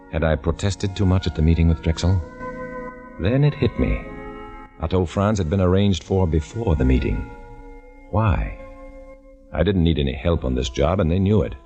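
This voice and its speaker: A man's voice: extremely low pitch, vocal fry, exaggerated voice. An actor narrating as a tense undercover man posing as a communist, in hard-boiled 1950s radio drama style, on an old broadcast with music behind. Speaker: narrator and lead.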